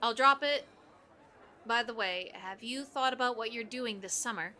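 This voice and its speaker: A woman playing a brash, excitable young coworker and friend in an audio drama, outspoken and chatty. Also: fairly high pitch, moderate pace, a little hiss or hum. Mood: teasing, curious. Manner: exuberant, outspoken, nosy.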